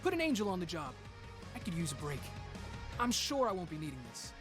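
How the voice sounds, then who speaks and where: An actor playing a cocky, angry teenage street inventor who controls time, with brash, taunting game voice lines. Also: male voice, high voice, very animated, a little fast, over music. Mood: wry confident. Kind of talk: video game character voice lines.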